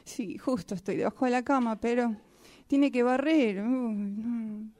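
Woman playing a worn-out female sock, higher-pitched, soft mellow tone, halting repetitive grumbles, improvised radio play. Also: close to an everyday voice. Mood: plaintive, chatty. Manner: halting, repetitive, plaintive asides. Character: weary, overused female sock complaining about its owner. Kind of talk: improvised radio-theatre monologue.

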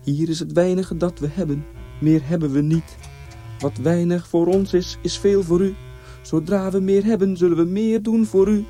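A man's voice: high voice, fairly even intonation, moderate pace, mellow, faint music behind, voice pushed up, close to an everyday voice. A man voicing a sly, pious village hunter and family father in a story, solemn and self-important. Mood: solemn chanting. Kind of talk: storybook character voice.

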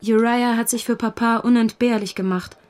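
An actress playing a gentle, devoted young woman with an earnest, composed manner in a radio drama. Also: fairly high pitch, fairly even intonation, moderate pace, natural voice. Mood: worried, earnest. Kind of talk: German radio drama dialogue.

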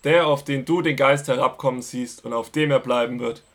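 An actor playing a fervent desert prophet in a biblical radio drama, speaking in a raised, proclaiming way. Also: male voice, mid-range voice, steady tone, fairly quick, close to an everyday voice.

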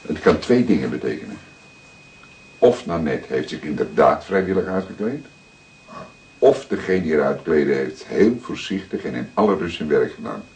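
An actor playing an older, paternal police detective with a Dutch accent, speaking in a deliberate, emphatic way with a husky voice. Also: male voice, a bass voice, big pitch swings, darkish tone, full character voice. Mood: measured, instructive. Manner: deliberate, emphatic, repeating words for effect. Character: seasoned male police detective, paternal, methodical, secretive. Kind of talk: dramatic detective dialogue acting.

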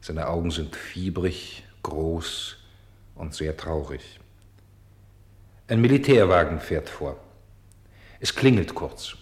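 A man playing an old weathered kerbstone in a radio play, speaking in a pensive, humble, hushed manner. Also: deep voice. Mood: somber narration. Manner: measured, hushed, dropping to whispers. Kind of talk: literary radio play monologue.

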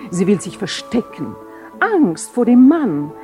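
Mellow, coquettish noblewoman. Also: medium pitch, big pitch swings, takes it slowly, faint music behind. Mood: confiding. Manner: theatrical, coquettish. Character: flirtatious, excitable married noblewoman, mother of the bride. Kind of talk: 1970 German radio play acting.

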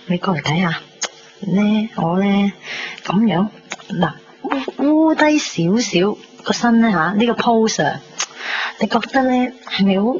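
A woman's voice: mid-range voice, lively intonation, measured pace, darkish tone, natural voice. A woman playing a bubbly, vain, chatty young woman with a Hong Kong accent in a 1990s radio drama. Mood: chatty, eager. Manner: chatty, dramatic, many exclamations and particles. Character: bubbly, vain young woman fretting over party outfit. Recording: muffled 1990s radio broadcast under music.

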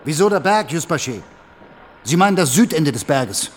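A sceptical commanding general in a radio play, acted by a man. His high voice is controlled, precise and authoritative, over a faint bed of noise. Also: lively intonation, normal speed, close to an everyday voice. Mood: cool, commanding. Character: cool, sceptical commanding general questioning a subordinate. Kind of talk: German radio drama acting.

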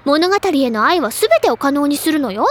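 A woman playing a bubbly, stubborn high school girl who gushes and lectures theatrically about literature in an audio drama. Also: expressive, moderate pace. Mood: stubborn confidence. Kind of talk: Japanese anime-style audio drama acting.

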